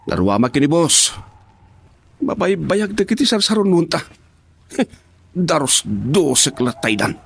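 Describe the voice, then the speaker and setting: An actor playing a bumbling, excitable henchman who drives, in an Ilocano radio drama. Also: a little high, unhurried, vocal fry, pauses often, husky, exaggerated voice. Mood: joking.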